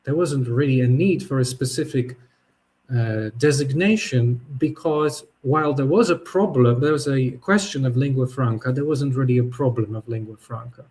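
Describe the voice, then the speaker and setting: A man who is a native Polish speaker, giving a thoughtful spoken essay, often pausing and restarting his sentences. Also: mid-range voice, darkish tone. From Poland. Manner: thoughtful, halting, with frequent restarts and fillers. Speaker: podcast host.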